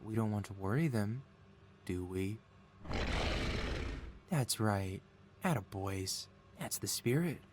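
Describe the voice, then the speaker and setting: A man playing a possessive mad scientist supervillain boss in an ASMR audio roleplay, talking in a soothing, coaxing, flattering way as if innocent. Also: on the low side, slow pace, pauses often, very noisy. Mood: conspiratorial, sly. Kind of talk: ASMR audio roleplay, intimate one-sided dialogue.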